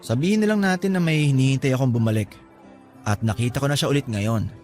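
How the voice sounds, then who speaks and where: A modest young man voiced by an actor in a romantic audio drama, gently teasing an old flame. His voice sits at a middle pitch, with music playing softly behind it. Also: quick pace, close to an everyday voice. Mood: coy, sincere. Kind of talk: Filipino audio drama acting.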